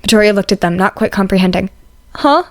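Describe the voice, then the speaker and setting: A woman's voice: mid-range voice, fast talker. A woman reading fan fiction aloud as scripted story narration.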